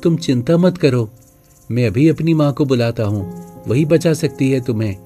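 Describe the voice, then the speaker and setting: A man voicing a trusting, plaintive young orphaned calf in an animated moral story. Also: medium pitch, normal speed, soft background music, natural voice. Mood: earnest, resolved. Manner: earnest, plaintive.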